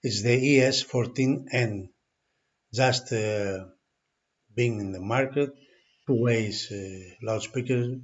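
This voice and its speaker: A man talks through a hi-fi speaker piece by piece, stopping and restarting as he goes. His voice sits at an ordinary middle pitch. The recording is dull and lo-fi. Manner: halting, many fillers and restarts. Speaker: audio product reviewer. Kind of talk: unscripted product walkthrough.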